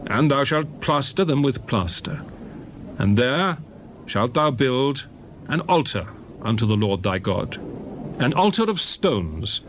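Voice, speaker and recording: A man's voice: medium pitch, big pitch swings. Solemn man reading scripture over background noise. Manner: solemn, measured, declamatory. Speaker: scripture narrator. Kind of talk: dramatized Bible reading in archaic English.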